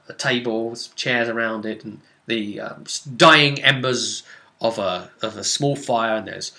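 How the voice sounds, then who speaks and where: A man recounting a tabletop role-playing session as game master, in a casual, rambling, animated storytelling way. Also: mid-range voice.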